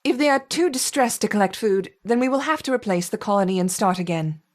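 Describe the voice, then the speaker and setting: A woman's voice: medium pitch, lively intonation, brisk, natural voice. A woman playing a demanding, formal lead scientist in a video game, with clipped authority. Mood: cool inquiry. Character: brisk, demanding female lead scientist overseeing an experiment.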